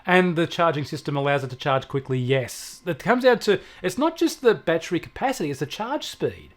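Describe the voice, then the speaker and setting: A man's voice: on the high side, lively intonation, brisk. An Australian man co-hosting a casual tech talk, explaining things in a plain-spoken, opinionated way. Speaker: technology show co-host and expert.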